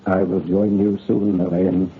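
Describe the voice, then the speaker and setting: An actor playing a proud middle-aged aristocrat father in an old radio drama, with grave, declamatory archaic speech and a husky voice. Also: low-pitched, flat delivery, measured pace, slight background noise. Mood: resigned sorrow. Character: proud, doomed aristocrat father, solemn and resolute. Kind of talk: old-time radio horror drama acting. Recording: muffled old radio recording.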